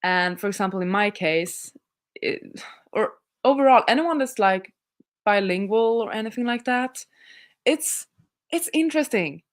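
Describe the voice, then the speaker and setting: A woman's voice: medium pitch, expressive, measured pace. A young Swedish woman, a native Swedish speaker, hosting a casual livestream, chatting in a rambling way with frequent laughs and restarts. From Sweden. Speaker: livestream host reading viewer chat.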